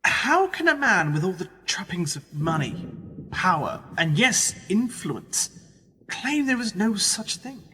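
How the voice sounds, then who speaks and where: An actor in a radio play voicing a skeptical journalist, with a husky voice and a dry, probing, challenging manner. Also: male voice, sits high, sing-song, a little slow, pushed and bright, heavily acted. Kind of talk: radio drama dialogue. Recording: long reverberant hall, music underneath. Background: music.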